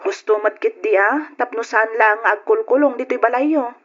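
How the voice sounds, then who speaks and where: A woman's voice: fairly high pitch, normal speed, fairly bright tone. A woman playing a supportive, advising younger sister in a radio drama, with an Ilocano accent. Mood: sympathetic. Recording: muffled old radio recording.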